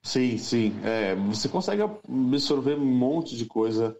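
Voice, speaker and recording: A man from Brazil, a music teacher and producer, talking through his plans in a relaxed, thoughtful interview. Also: medium pitch, lo-fi sound, slight background noise. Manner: thoughtful, unhurried, many fillers. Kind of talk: casual interview talk.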